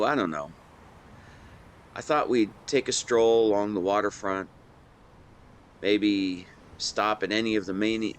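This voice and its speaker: A man playing an affectionate, playful young best friend in a romantic audio roleplay, speaking to the listener in a teasing, tender, expressive way. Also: fairly low pitch, lightly acted.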